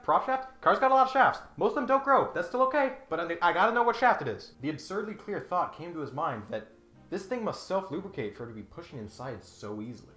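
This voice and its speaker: A man's voice: a little high, pushed and bright, echoey room. A man hosting with comic commentary and dramatic reading, in a mock-serious, sarcastic manner. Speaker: host.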